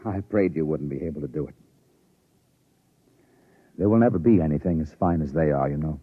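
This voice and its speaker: An actor playing an aging, kindly spaceship captain, sombre and restrained, in a 1950s radio drama. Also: male voice, rumbling low, a little fast, husky, no room sound. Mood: grave, tender. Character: aging spaceship captain, grave, kind, quietly regretful. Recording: muffled vintage radio recording with music.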